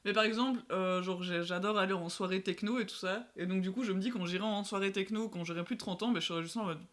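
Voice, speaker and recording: A woman's voice: mid-range voice, quick pace. A woman in her twenties chatting casually on a podcast, peppering her talk with fillers and asides. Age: 20s. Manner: chatty, fillers like "genre" and "tu vois". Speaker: guest. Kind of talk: casual chat.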